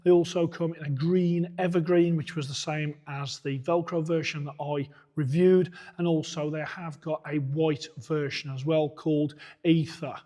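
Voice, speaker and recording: Man, boxing coach, hoarse from shouting, mellow tone, plain methodical review delivery. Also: a little high, fairly even intonation, normal speed. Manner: methodical, plain-spoken, point by point. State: hoarse, losing his voice. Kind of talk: product review walkthrough.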